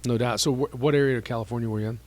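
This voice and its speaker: A man's voice: mid-range voice, steady tone. A man hosting a casual podcast interview, a mortgage lender with a chatty, upbeat manner. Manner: chatty, upbeat, quick affirmations.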